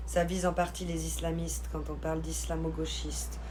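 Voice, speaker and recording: A woman from Switzerland chatting casually on a live stream, reading and answering viewers' comments in an informal, slangy, expressive way. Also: deep voice, moderate pace. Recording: live stream with clear background noise.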